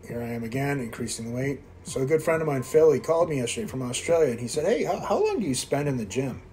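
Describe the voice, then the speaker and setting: A man's voice: mid-range voice, very animated, fast talker, mellow, a little hiss or hum. A man in his fifties from Quebec, talking casually while working out in a gym and coaching viewers in a blunt, self-mocking way. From Quebec, Canada. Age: 50s. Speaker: fitness vlogger. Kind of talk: casual workout commentary. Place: indoor gym.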